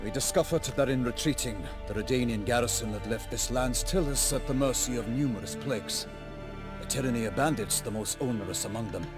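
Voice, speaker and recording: An actor playing a solemn, idealistic knight errant in a fantasy game, with grave, oratorical, archaic speech. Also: male voice, mid-range voice, fairly quick, close to an everyday voice. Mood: earnest, solemn. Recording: loud game music behind.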